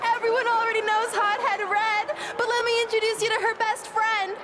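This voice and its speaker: A woman's voice: extremely high pitch, level tone, a little fast, a crowd in the background, background noise. A woman playing a swaggering, taunting teenage girl bully, captain of a wrestling team, in a video game. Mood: gloating, taunting. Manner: taunting, sneering.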